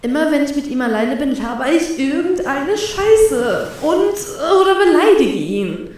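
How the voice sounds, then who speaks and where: A flustered young woman's inner voice, high and drawn out, swearing softly to herself, as a woman reads her thoughts aloud. It rings with a heavy echo, as if heard from far off. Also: sing-song, a little slow. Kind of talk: inner thoughts in a fan fiction reading. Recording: very echoey, from far off.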